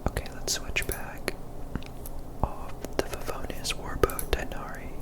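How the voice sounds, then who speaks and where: Whispering woman, ASMR gameplay commentary. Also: measured pace. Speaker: gaming streamer.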